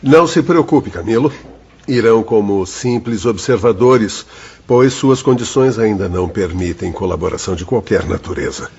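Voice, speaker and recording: An actor playing a reassuring, formal doctor and guide in a radio drama, with a husky voice. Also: male voice, on the low side, expressive, normal speed, darkish tone. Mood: calm, reassuring. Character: reassuring, formal male doctor guiding spirit pupils. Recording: dull, slightly muffled radio drama.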